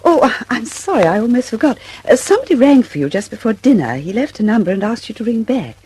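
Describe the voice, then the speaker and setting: A woman's voice: fairly high pitch, big pitch swings, fast talker, warm tone, slight background noise. A woman playing a patient, placating British wife in a radio drama, mild, soothing and slightly hesitant. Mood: apologetic, helpful. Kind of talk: mid-century British radio drama acting.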